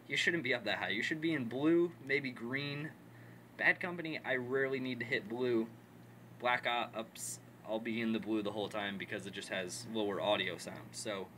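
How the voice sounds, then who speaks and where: A man's voice: mid-range voice, a bit bright, a little hiss or hum. A man talking casually through a setup tutorial, instructional and repeating his steps for emphasis. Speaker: presenter of a gaming headset setup tutorial. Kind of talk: casual unscripted tutorial talk.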